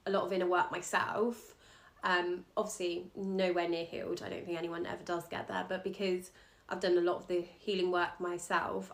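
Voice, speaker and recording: A woman astrologer, a podcast guest, talking candidly and casually about her work in a relaxed, soft-spoken way. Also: on the low side. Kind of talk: casual interview talk.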